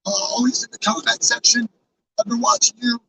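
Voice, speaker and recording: A hoarse man with a fairly high voice talks earnestly to his live chat, rambling with plenty of fillers and restarts. He sits back from the microphone, and faint background noise hums under his words. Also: fairly even intonation, brisk, bright, forceful tone, recorded from a distance, rough recording. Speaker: live streamer with a truck convoy. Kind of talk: casual live-stream talk to chat.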